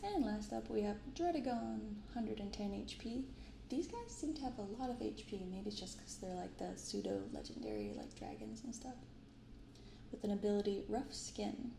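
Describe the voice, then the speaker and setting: A woman speaking softly in an ASMR style, giving gentle, unhurried casual commentary as host of a card-opening video. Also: medium pitch, sing-song, vocal fry, reverberant, background noise. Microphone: binaural microphone.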